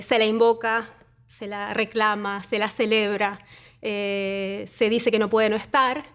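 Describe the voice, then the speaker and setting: A woman from Argentina with an Argentine accent, speaking as an academic researcher in a measured, reflective conversation with frequent pauses. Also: on the high side. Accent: Argentine Spanish.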